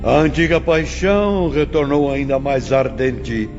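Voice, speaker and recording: A man's voice: medium pitch, fairly even intonation, a little slow, lightly acted. A man voicing a solemn, didactic teacher who presents a sad past to apprentices in a dramatized audiobook. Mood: grave, instructive. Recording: dull lo-fi audio, long reverb, music. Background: music.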